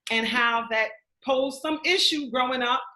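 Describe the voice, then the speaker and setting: A woman's voice: high-pitched, unhurried, bright, forceful tone, echoey room. A woman hosting a video call interview, chatty and enthusiastic, talking over her guest. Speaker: host.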